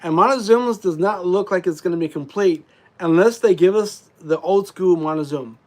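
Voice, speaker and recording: Congested male streamer with a slightly high voice, loose rambling delivery full of ums. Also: fairly quick. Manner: rambling, frequent fillers and throat clearing. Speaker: live streamer. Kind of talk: casual live stream chat.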